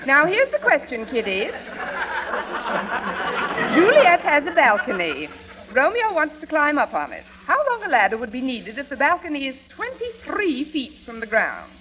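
A woman's voice: high voice, huge pitch swings, moderate pace, very noisy. A woman playing an impatient, sarcastic schoolteacher in a 1940s radio comedy. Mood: bossy. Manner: sarcastic, scolding. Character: bossy, impatient schoolteacher quizzing children.

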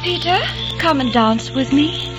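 A woman's voice: high-pitched, a little slow, over music. An actress playing an adoring young woman in an old radio drama, speaking eager and pleading. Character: young woman, devoted dreamy sister. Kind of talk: 1940s radio acting.

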